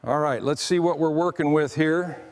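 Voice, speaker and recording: A man's voice: a little high, normal speed, a little mellow, slight background noise. A man giving hands-on commentary on restoration work in short, casual phrases. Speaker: restoration video narrator.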